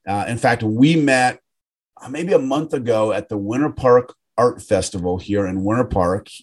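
A man hosts a relaxed podcast interview over a video call, and his speech rambles and restarts as he goes. He sits at mid pitch for a man and keeps a friendly, chatty tone. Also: moderate pace, slightly rough. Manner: rambling, self-correcting, friendly. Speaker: podcast host. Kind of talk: casual interview.

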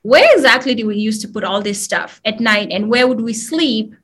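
A woman in her thirties with a Kenyan accent, speaking as a guest in a video call interview, soft and reflective. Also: on the high side, moderate pace.

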